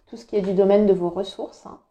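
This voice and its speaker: A woman talks through a monthly tarot spread, her voice soft and mellow. A cold has left her throat a touch hoarse. She hesitates often and fills gaps while she explains each card. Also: mid-range voice, steady tone, fast, dull audio, slight background noise. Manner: hesitant, many filler sounds, explanatory. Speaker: tarot reader. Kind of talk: monthly astrology tarot reading.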